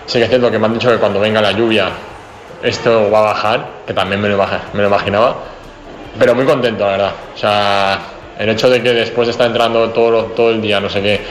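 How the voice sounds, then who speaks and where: A young man answers questions at a press conference, easygoing and chatty, leaning on fillers. His voice sits fairly low and echoes around a hard room, with a faint hiss behind him. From Spain, Madrid region. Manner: relaxed, chatty, many fillers. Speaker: basketball player.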